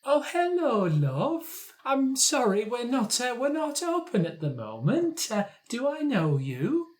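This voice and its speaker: Guy doing a high swoopy old lady, sharp-tongued shopkeeper playing doddery. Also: huge pitch swings, takes it slowly, exaggerated voice. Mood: sweetly feigned. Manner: feigns doddery frailty, then turns tart and defiant. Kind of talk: improvised tabletop character voice.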